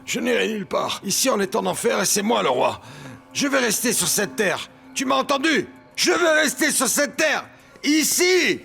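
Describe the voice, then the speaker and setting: Stubborn, easily enraged old patriarch in a dubbed melodrama; very high, forceful voice, frightened and bossy. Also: male voice, very animated, moderate pace, creaky, faint music behind, heavily acted. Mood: panicked, defiant. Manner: agitated, repetitive, imperious.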